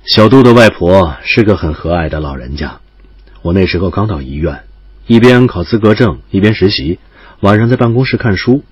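An actor playing a composed young doctor in an audio drama, gentle and reserved, speaking with calm understatement. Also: male voice, sits low, normal speed, husky, no echo. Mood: calm, tender.

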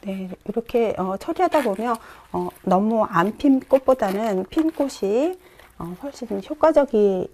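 Middle-aged woman teaching flower arranging. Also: fairly high pitch. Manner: calm instructive, many "eo" pauses while working. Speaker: floral design instructor. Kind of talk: step-by-step demonstration lesson. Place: bright indoor studio.

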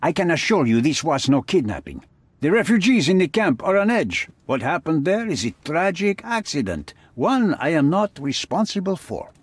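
Husky, menacing crime boss. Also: male voice, on the high side, sing-song, dry recording. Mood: irritated, dismissive. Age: middle-aged. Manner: formal, deliberate, imperious. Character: menacing, haughty middle-aged male crime boss. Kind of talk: dramatic video game acting.

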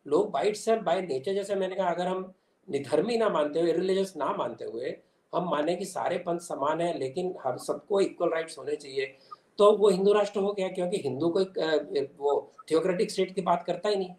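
Older man, higher-pitched, in echoey room. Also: normal speed, darkish tone. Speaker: interview guest, commentator. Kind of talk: explanatory political interview answer. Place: small indoor room at home. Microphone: video call device. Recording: echoey room on video call.

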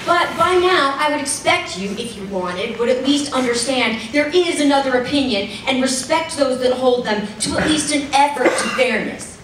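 A woman's voice: high voice, normal speed, few pauses, background noise. A middle-aged woman giving a dramatic stage reading of letters with exaggerated indignation, into a stand mic. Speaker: stage reader. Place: stage in a large hall. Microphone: stand mic at a music stand. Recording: echoey hall from far off.

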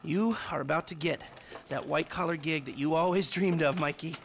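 A nerdy American hacker in his forties for a game cutscene. His voice sits fairly high, and he rants in long, sardonic, foul-mouthed sentences. A faint noise runs behind him. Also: a little fast, vocal fry, fairly bright tone. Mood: gleeful scheming. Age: 40s. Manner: sardonic, wordy, ranting. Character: bitter, foul-mouthed, nerdy middle-aged male hacker. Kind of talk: video game cutscene acting.

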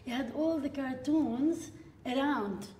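A woman in her forties from Lebanon, a filmmaker guest at a live panel, telling stories in a lively way with quoted asides. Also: sits high, takes it slowly. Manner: lively storytelling with frequent laughs and quoted asides. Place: gallery room with seated audience. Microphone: stand mic at a distance. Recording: echoey room from a stand mic.

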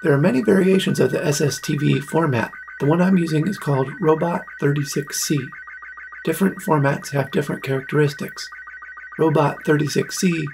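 A man in his forties with a husky, slightly gravelly voice, narrating an explanatory tutorial. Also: fairly high pitch, low-quality recording. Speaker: video maker presenting a tutorial.

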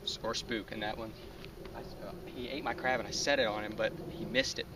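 Excited young man on a distant camera. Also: fairly low pitch, fairly quick. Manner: breathless, excited, laughing often. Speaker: fishing vlogger. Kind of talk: excited outdoor fishing commentary. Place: outdoors on a pond bank. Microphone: camera on a tripod some distance away. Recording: distant camera mic with outdoor noise.

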